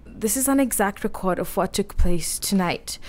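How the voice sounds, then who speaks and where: A woman playing a frail, frightened young gentlewoman in a radio play, gentle and brave. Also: medium pitch, expressive, normal speed, close to an everyday voice. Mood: weary, fearful. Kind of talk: radio play acting, partly diary narration.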